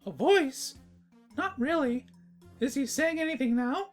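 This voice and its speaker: Woman doing a little boy dragon for a cartoon fanfic, high voice, cheeky n blunt. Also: animated, a little slow, soft background music. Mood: puzzled. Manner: casual, blunt, boyish. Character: young male dragon, cheeky, sleepy-headed cartoon sidekick. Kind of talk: cartoon fan fiction reading.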